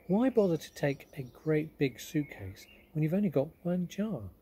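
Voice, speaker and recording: A man's voice: a little high, very animated, moderate pace, dark tone, slight background noise, voice pushed up. A man voicing a small, polite, earnest young girl in a storybook reading.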